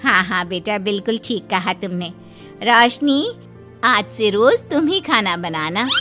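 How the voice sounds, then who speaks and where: A woman's voice: mid-range voice, lively intonation, unhurried, over music, close to an everyday voice. A woman voicing a kindly middle-aged mother-in-law in a cartoon family story, motherly and approving. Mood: pleased. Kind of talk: Hindi cartoon story dialogue.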